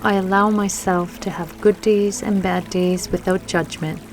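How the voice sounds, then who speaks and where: A woman leading a scripted guided meditation with affirmations in a gentle, soothing manner, leaving long pauses between phrases. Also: medium pitch, fairly even intonation, slight background noise. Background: music and trickling water.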